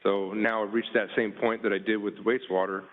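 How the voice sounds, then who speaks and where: Man panelist, lowish voice, halting with fillers, phone-quality audio. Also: flat delivery. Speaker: panelist, water utility worker. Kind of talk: presentation with slides, casual. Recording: tinny phone-like line.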